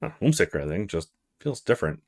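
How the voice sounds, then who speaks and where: A man playing a musing young male student, animated pitch. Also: racing. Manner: casual inner monologue with short musing fragments.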